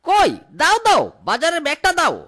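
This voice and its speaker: Squeaky, whiny cartoon husband. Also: big pitch swings, a little fast, sharp tone, full character voice. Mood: grumbling, evasive. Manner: theatrical, complaining, calling out. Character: cartoon village husband, whiny, wheedling, blustering. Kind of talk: animated folk-tale cartoon acting. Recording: clear background music.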